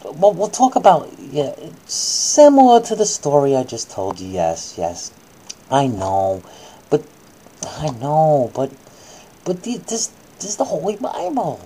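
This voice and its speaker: A woman's voice: low-pitched, huge pitch swings, a little slow, warm tone, a little hiss or hum, low-quality recording. A woman acting out a bedtime story for children, moving between dramatic reading and coaxing, soothing asides.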